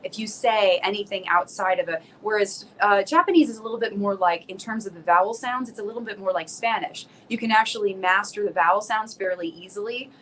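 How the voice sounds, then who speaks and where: An American woman talks casually as a singer being interviewed, stopping and restarting her thoughts as she goes. Her voice sits at an ordinary middle pitch. The call carries a faint hiss of background noise. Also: fairly quick, few pauses, a bit bright. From South Florida. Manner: chatty, laughs often, many fillers and restarts. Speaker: singer and guest. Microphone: video call.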